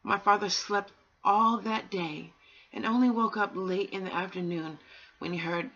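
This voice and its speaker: A woman with a slightly husky voice reads a storybook aloud as bedtime narration, unhurried, pausing between phrases. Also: medium pitch, fairly bright tone. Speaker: amateur bedtime story reader. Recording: dull, lo-fi home recording.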